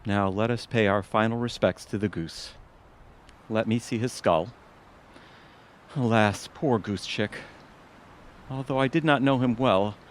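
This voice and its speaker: A man's voice: lowish voice, a little hiss or hum, close to an everyday voice. A man playing a melodramatic, sentimental middle-aged family man in a comedic audio drama, with a theatrical, declamatory delivery.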